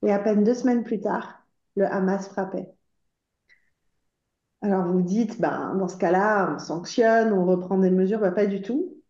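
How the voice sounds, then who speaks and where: A woman from Iran giving an impassioned conference speech as an association spokeswoman, urgent and earnest, often pausing on hesitant fillers as she calls the audience to act. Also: medium pitch, dark tone. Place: conference room. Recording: roomy, slightly dull conference recording.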